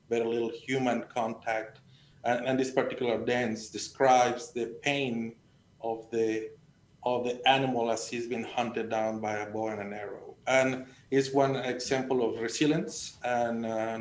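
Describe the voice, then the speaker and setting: Male panel guest, middle-aged, Mexican accent. Earnest, halting storyteller with narrow intonation, recorded in a roomy space. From Sonora, Mexico. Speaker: panel guest, agricultural extension educator. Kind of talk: conversational personal storytelling. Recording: echoey room, faint noise and rumble.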